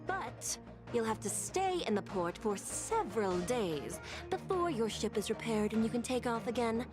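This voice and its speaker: A fairly high, lively female voice for a dutiful official in a video game. She is formal and insistent, laying out rules with firm, varied emphasis. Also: moderate pace, music in the background.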